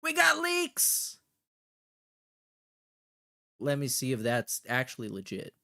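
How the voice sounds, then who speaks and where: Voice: mid-range voice, wildly swooping pitch, slow pace, creaky, bright, forceful tone. A livestream host chatting casually and reacting in a blunt, heavily profane way.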